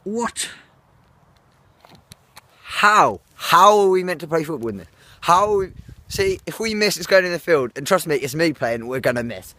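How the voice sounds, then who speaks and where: A teenage English boy vlogging outdoors on a phone held at arm's length, chatty and excitable with his friends. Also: a little high, moderate pace, long pauses, no echo. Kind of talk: casual vlog to camera. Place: outdoors in fields on a windy grey day. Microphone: phone camera held at arm's length.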